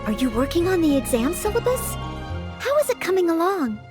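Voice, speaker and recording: Polite earnest young maid-knight from a video game, super high voice, bouncy pitch. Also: female voice, very animated, a little fast, over music. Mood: polite, curious. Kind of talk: scripted video game character acting.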